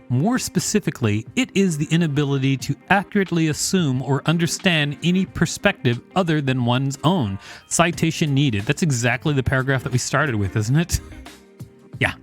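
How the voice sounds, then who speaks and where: A man hosting a podcast, reading an encyclopedia article aloud with the occasional brief aside. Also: fairly high pitch.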